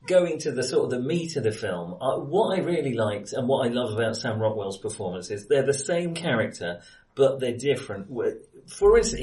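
Man, lively varied intonation, casual film talk, hesitant rephrasing, enthusiastic, roomy distant recording. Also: medium pitch. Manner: hesitant, rephrases often, chuckles while talking. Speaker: podcast co-host reviewing films. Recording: roomy echo, distant microphone.

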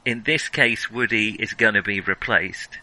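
A man's voice: on the low side, unhurried, bright, forceful tone, poor audio. A man hosting a casual film discussion, thinking aloud and often rephrasing mid-thought. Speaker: podcast host.

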